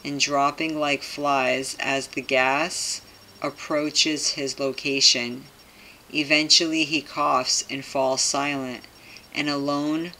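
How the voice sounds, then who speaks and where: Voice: mid-range voice, pushed and bright, a little hiss or hum, low-quality recording. A person reading aloud and commenting casually to viewers, with skeptical, conspiratorial asides and frequent tag questions, in a home recording. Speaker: video host commenting on a topic.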